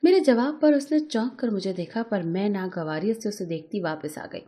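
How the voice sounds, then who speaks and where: A woman narrating a scripted first-person emotional story in a measured, dramatic storytelling manner. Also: medium pitch, dark tone.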